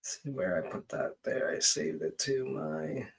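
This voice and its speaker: A man hosting a casual genealogy stream, talking in a halting way as he thinks aloud during a screen-share. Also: medium pitch, sing-song, gravelly, husky.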